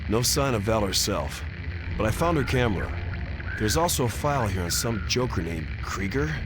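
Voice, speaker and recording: An actor playing a brash, wary mariner turned action hero in a video game, with a terse, casual, wisecracking delivery. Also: male voice, lowish voice, very animated, normal speed, loud music behind the voice. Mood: dry, casual. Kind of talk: scripted video game voice acting.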